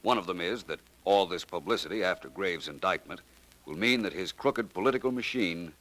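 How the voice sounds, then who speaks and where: An actor in a 1940s radio drama playing a composed gentleman detective who lays out how a murder was done. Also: sits low, brisk, poor audio. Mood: explaining. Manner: calm, explanatory. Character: suave, cool-headed gentleman detective.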